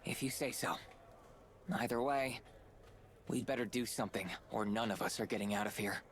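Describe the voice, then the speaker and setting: A man's voice: lowish voice, moderate pace, a bit bright, a little hiss or hum. A man playing an earnest, skeptical young hero in a video game, with a direct manner. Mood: resolved. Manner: direct, questioning, businesslike.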